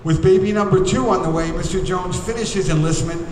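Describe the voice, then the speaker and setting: A man emceeing a ceremony, reading biographies aloud at a podium in a formal, measured way with occasional hesitations. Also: sits high, slight background noise. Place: large museum hall. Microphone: podium microphone. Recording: echoey hall, voice from far off.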